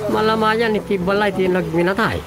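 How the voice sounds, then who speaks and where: An actor playing an inquisitive village official's helper with an Ilocano accent in a radio drama comedy. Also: male voice, high voice, fairly even intonation, brisk, very noisy, natural voice. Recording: loud music bed behind the voice.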